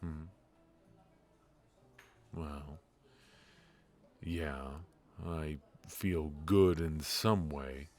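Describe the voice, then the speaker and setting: A man playing a wry, tender mafia boss in an intimate ASMR roleplay, speaking in a halting, low-key way. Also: darkish tone, heavily acted. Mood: reflective, subdued. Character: brilliant ruthless male mafia boss, softened, wry and tender. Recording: music behind the voice.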